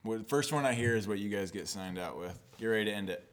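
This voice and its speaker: A young man co-hosting a podcast, quick with dry wisecracks and casual swearing. His pitch moves around a lot, lively and animated. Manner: wisecracking, deadpan jokes, swears. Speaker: podcast co-host. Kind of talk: casual chat.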